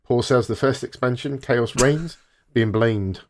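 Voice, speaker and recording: A man's voice: medium pitch, normal speed, a little mellow, slight background noise. A man co-hosting a podcast, informally reading out gaming news items to the others.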